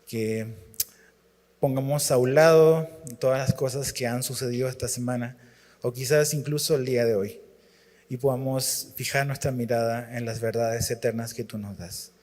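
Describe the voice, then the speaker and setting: Male guest preacher, mid-pitched, halting and relaxed, church hall reverb. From Chile. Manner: relaxed, halting with frequent fillers and restarts. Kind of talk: sermon with scripture reading.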